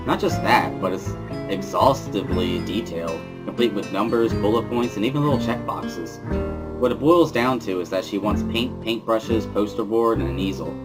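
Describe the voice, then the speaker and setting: A man with a fairly low voice reads scripted first-person narration. His delivery is wry and dry, like an inner monologue, and music plays behind him. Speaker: narrator of a visual novel reading.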